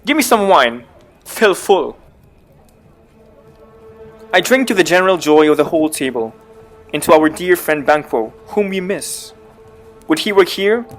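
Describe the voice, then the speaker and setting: A man's voice: a little high, animated, unhurried, pauses often, faint music behind. An actor playing a guilt-haunted, raving king in a dramatic reading of Shakespeare. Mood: forced cheer.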